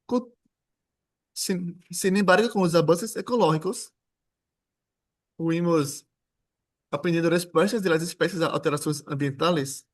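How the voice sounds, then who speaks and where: A man's voice: on the high side, a little slow, pauses often. A man from Brazil, a native Portuguese speaker with a Brazilian Portuguese accent, giving an academic seminar in an informal, joking way with frequent restarts. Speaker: guest lecturer.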